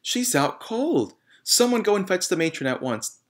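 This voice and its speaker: A man's voice: high voice, big pitch swings, normal speed, pitched above the performer's own voice. A man voicing a concerned woman schoolteacher from a storybook, giving urgent instructions. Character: concerned young woman schoolteacher taking charge.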